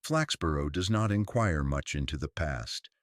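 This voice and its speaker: A man's voice: extremely low pitch, animated, moderate pace, no room sound, clean studio sound. A man voicing a prim, guarded old small-town woman in a story, with precise, drily genteel phrasing. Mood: dry, composed.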